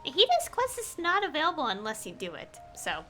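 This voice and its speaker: A woman streams a game with casual, rambling commentary. Her voice is high and bright with very animated pitch, giggly and prone to trailing off. Faint game music plays behind her. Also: a little fast. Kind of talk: casual live gameplay commentary.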